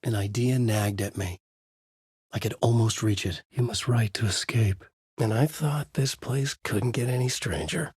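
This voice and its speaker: An actor playing a brooding writer who narrates his dark thriller in a grave, deliberate, noir style, as in a video game. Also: medium pitch, slightly rough, no room sound, clean studio sound. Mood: uneasy, determined. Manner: grave, deliberate, moody storytelling.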